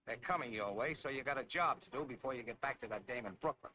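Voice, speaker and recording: An actor with an American accent playing a tough, grumbling tank soldier in a 1940s military training film, brash, slangy and emphatic. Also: male voice, medium pitch, fast talker, highs cut off, natural voice. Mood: urgent warning. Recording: noisy 1940s film soundtrack, clicks.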